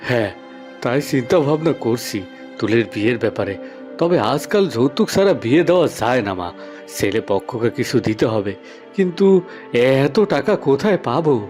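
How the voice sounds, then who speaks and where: Animated homely farmer husband played by an actor. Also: male voice, medium pitch, a little fast, soft background music, close to an everyday voice. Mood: worried. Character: hardworking farmer, easygoing husband and dutiful son. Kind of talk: Bengali cartoon fairy-tale dubbing.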